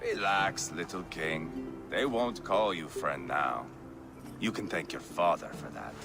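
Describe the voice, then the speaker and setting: An actor playing a menacing Viking warrior in a video game, taunting and drawling with cruel glee. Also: male voice, low voice, lively intonation, unhurried, over music. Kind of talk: video game cutscene acting.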